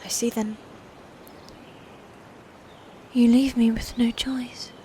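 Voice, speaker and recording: A woman playing a proud, bitter female knight in a fantasy ASMR roleplay, breathy and often near a whisper, with formal, commanding diction. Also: a little high, flat delivery, moderate pace, background noise, lightly acted. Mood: cold, threatening.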